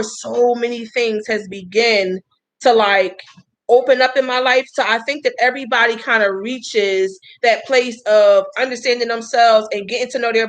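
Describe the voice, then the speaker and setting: Host, woman, 30s: chatty and folksy, higher-pitched voice, talks straight to her viewers. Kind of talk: casual live-stream talk.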